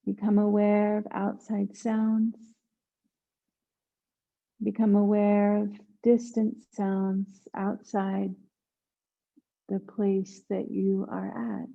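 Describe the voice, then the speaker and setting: A woman guiding a yoga nidra meditation in a hushed, soothing manner, leaving long pauses between instructions. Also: mid-range voice, monotone, vocal fry, lo-fi sound. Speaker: meditation guide.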